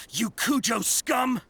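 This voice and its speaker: Furious high-pitched male soldier, forceful. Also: gravelly, slightly rough, exaggerated voice. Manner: shouted, accusatory. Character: furious, rebellious male soldier rallying comrades. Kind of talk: scripted video game dialogue.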